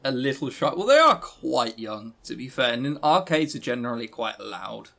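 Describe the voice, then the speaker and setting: A man's voice: medium pitch, big pitch swings, moderate pace, vocal fry, sharp tone. A man co-hosting a podcast in casual chat, joking and teasing with a mock-serious manner. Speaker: podcast co-host.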